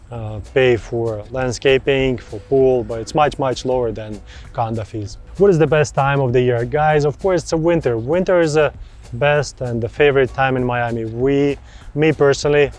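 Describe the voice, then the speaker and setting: A man in his thirties with a Russian accent talks through viewer questions about buying property. His pitch sits in an ordinary middle range, and his speech is loose and friendly, full of repeated words and non-native phrasing. Faint music plays softly underneath. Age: 30s. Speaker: real estate broker. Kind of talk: casual answers to viewer questions.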